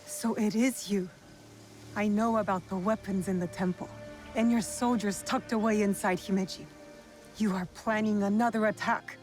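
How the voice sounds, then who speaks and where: A woman's voice: mid-range voice, steady tone, normal speed, a little mellow, natural voice. A woman playing a young, defiant and determined warrior of feudal Japan in a video game, blunt and challenging. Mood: accusing. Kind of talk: cinematic video game acting. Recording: faint music behind the voice. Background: faint background music.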